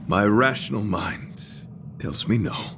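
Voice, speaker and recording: An actor voicing a noble, courtly knight devoted to beauty, speaking formal, florid lines earnestly in a theatrical video game style. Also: male voice, on the low side, animated, slow pace, fairly bright tone, a little hiss or hum, full character voice. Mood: solemn reverie.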